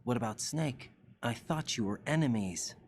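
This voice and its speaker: An actor playing an earnest, bewildered young agent in a video game, questioning and intense. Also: male voice, medium pitch, expressive, normal speed, husky. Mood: earnest, probing. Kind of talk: dramatic video game voice acting.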